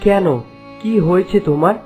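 A man's voice: high-pitched, moderate pace, warm tone, lightly acted. A man voicing a caring, devoted young prince in a fairy-tale cartoon, concerned and earnest. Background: background music.

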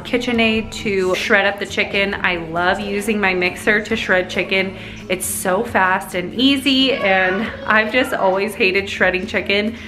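A woman in her thirties, a mom and vlogger, talking casually and upbeat while cooking at home, laughing often. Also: medium pitch. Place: home kitchen, indoors.

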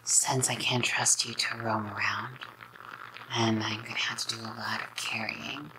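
A young woman whispers an ASMR roleplay as a possessive, coaxing girlfriend with sweet menace. Her voice is extremely low and crackles with fry, breathy and close to the microphone. Heavy background noise runs under it. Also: little pitch movement, a little slow. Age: young adult. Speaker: ASMR creator. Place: small dim indoor room. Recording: loud background noise with handling clicks.

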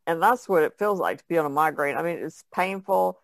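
A woman's voice: deep voice, animated, fairly quick, vocal fry, dry recording, studio quality. A woman co-hosting a podcast, talking in a casual, conversational way. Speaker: podcast co-host. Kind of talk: casual chat.

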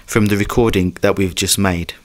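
A man's voice: deep voice, fairly even intonation, normal speed. A man in his thirties giving an explanatory demonstration as a presenter, recorded indoors at home on a camera mic. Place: hallway of a house, indoors.

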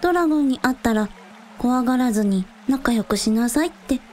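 Actress as a young idol girl in a radio play, high voice, breezy n blunt, kinda slangy. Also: expressive, a little fast, a little mellow, faint music behind, lightly acted. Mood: thoughtful. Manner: breezy, slangy, matter-of-fact. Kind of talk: Japanese radio drama acting.

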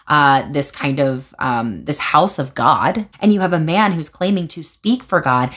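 Lower-voiced young woman vlogging from her couch. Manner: animated, gestures with hands while explaining; thoughtful with frequent fillers and restarts. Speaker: video essayist. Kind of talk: casual commentary vlog. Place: living room, seated on a couch indoors.

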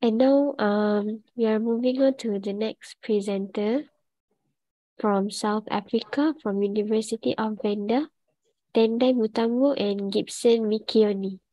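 A woman's voice: on the high side, fairly even intonation, measured pace, dark tone, no room sound. A woman moderating an online event, introducing presenters politely with hesitant fillers. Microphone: video call.

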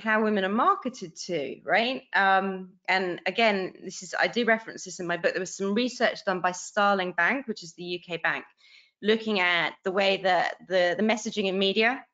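A woman's voice: medium pitch, fairly bright tone. A woman in her forties, a guest author on a video call interview, talking earnestly and animatedly, often restarting her phrases. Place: bright home room. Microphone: video call webcam. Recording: dull lo-fi video call audio.

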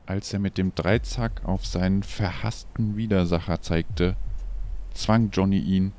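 A man's voice: low-pitched, fairly even intonation, a little slow, a little mellow. A man narrating an audio drama in a dramatic, scripted storytelling style.

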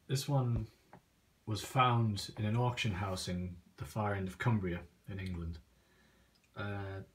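A man's voice: fairly low pitch, fairly even intonation, slow. A man giving a casual show-and-tell in an informal, understated way, recorded on a handheld device in a small room at home. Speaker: antique phonograph collector. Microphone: handheld phone or camera, speaker off screen. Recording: noisy handheld recording with clicks.

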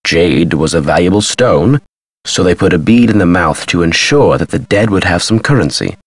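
An actor playing an eccentric, agitated scholar with memory loss in a video game, speaking theatrically with a husky voice. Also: male voice, low voice, animated, normal speed, no echo. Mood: intense, musing. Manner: theatrical, emphatic, swinging delivery. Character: eccentric, agitated male amnesiac Maya scholar.

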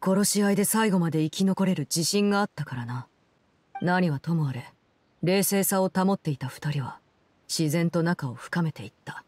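An actress playing a cold, composed game villainess, telling a story in a measured, solemn and restrained way. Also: female voice, on the low side, expressive, darkish tone. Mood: somber, restrained. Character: cold, composed woman, Fatui Harbinger who runs an orphanage. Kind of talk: scripted game story narration.

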